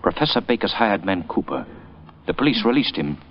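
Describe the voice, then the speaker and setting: An actor playing a mysterious, commanding crime fighter with urgent, dramatic delivery in old radio drama style. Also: male voice, fairly low pitch, very animated, fairly quick. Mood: grave, determined. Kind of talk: 1930s-40s radio drama acting. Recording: muffled vintage radio recording.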